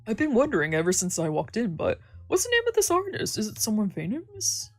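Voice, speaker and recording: Timid polite young dude done by a girl streamer, earnest, breathy, close dry mic. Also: mid-range voice, wildly swooping pitch, a little fast, dry recording, voice pushed up. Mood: eager, impressed. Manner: hesitant, polite. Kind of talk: visual novel character read-aloud.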